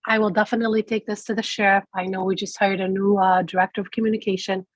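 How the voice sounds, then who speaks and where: A woman's voice: mid-range voice, flat delivery, fast talker, dry recording. A congested woman reporting to a committee from her office, polite and apologetic. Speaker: sheriff's office representative. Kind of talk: reporting to a public meeting. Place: her office, joining remotely.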